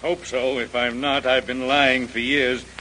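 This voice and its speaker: An actor playing a genial, blustery, grumbling husband of a film star in 1930s radio style. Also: male voice, mid-range voice, flat delivery, a little slow, bright, forceful tone. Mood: blustery, jovial. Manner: genial, blustery, old-fashioned clubby address. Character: grumbling, half-jovial husband of a movie star. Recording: noisy old radio transcription.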